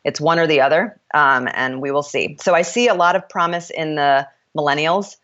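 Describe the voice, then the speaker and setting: A woman with a notably deep, low voice talks candidly as a podcast guest. She is frank and opinionated and often stops to restart her sentences. The recording sounds a little dull and lo-fi. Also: normal speed, a bit bright. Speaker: author and startup founder, guest. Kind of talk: candid interview conversation.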